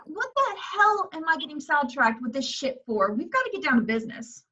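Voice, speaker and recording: A woman acting a sharp, scornful, high-voiced bossy woman. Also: very animated, brisk, full character voice. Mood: outraged. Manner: scornful, blunt, emphatic. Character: bossy, sarcastic, foul-mouthed woman in a horror film. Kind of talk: amateur at-home screenplay table reading.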